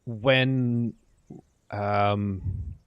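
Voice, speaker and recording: Young man, casual dev-stream muttering, gravelly fry, dry close sound. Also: on the low side, fairly even intonation, very slow, no echo, highs cut off. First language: Dutch. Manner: mutters to himself, trailing off and swearing lightly. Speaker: indie game developer streaming. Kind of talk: casual livestream, thinking aloud while working.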